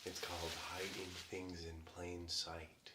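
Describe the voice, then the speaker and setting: A man playing an earnest, paranoid conspiracy theorist in a whispery ASMR roleplay, halting and rambling, often slipping into a whisper. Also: sits low, monotone, very noisy. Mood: conspiratorial. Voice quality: hushed, breaking often into whisper. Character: earnest, paranoid, tipsy male conspiracy theorist club member.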